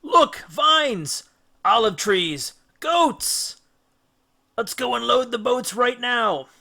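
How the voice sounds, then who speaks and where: A man voicing an eager, excitable sailor in a children's storybook, urging his shipmates on. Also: very high voice, extremely slow, creaky, pitched above the performer's own voice, full character voice. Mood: excited shouting. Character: eager, excitable male Greek sailors of the crew.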